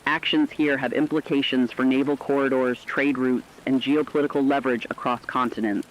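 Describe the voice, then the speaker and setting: A man's voice: mid-range voice, fairly even intonation, fairly quick, natural voice, low-quality recording. A man playing a serious radio war analyst, giving formal, sober and emphatic commentary in a scripted emergency broadcast. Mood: sober, warning. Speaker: news analyst.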